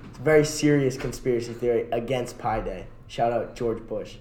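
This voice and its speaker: A man's voice: medium pitch, a little fast, a little mellow. A young man co-hosting a podcast, debating friends in a slangy, argumentative way with some swearing. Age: young adult. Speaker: podcast co-host. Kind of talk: casual debate among friends. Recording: roomy echo with background noise.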